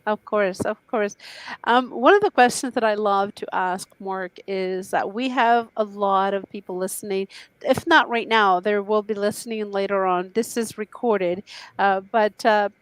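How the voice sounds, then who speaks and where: A woman's voice: mid-range voice, unhurried, smooth, dry recording, poor audio. A woman hosting an interview show, chatty and effusive, often restarting her sentences. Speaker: talk show host.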